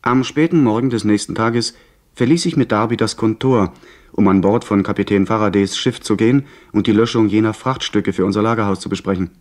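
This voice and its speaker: A man's voice: on the low side, fairly quick, darkish tone, natural voice. An actor playing a well-bred young man who narrates and converses with polite curiosity in a radio play. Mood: composed, narrating. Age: young adult. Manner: well-spoken, composed, politely inquisitive. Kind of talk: 1970s radio play acting with first-person narration.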